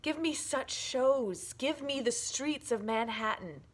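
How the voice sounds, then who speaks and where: A woman's voice: high-pitched, animated, slow pace. A woman giving a declamatory, emphatic dramatic reading of poetry.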